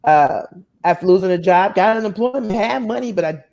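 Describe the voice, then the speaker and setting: A woman's voice: medium pitch, animated, fairly quick, darkish tone. Woman guest, earnest and heartfelt, shares her faith testimony. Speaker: guest storyteller.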